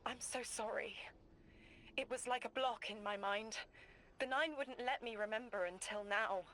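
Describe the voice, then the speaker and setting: An actress playing a solemn, haunted messenger in a video game drama, speaking in measured, cryptic sentences. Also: female voice, a little high, a bit bright, slight background noise, lightly acted. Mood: sorrowful.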